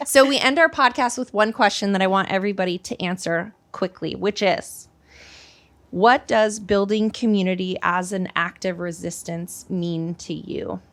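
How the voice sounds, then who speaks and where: A woman's voice: mid-range voice, fairly even intonation, moderate pace, fairly bright tone. A woman hosting a casual podcast, affirming others and leading the discussion. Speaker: podcast host.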